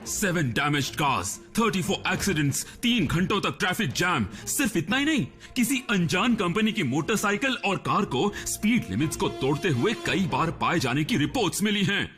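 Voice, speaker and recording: Tough cartoon agent, higher-pitched, clipped and stern. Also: male voice, very animated, moderate pace, hardly any pauses, a bit bright, soft background music. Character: stern male government agent, demanding. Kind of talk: Hindi cartoon dub.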